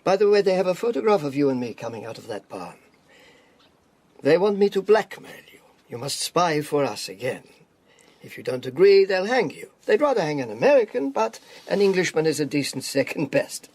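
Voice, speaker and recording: An actor playing a shrewd, worldly spy handler in a radio drama, with a husky, breathy voice and a measured, theatrical, commanding manner. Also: male voice, fairly high pitch, a little mellow, dry recording. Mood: sardonic, menacing.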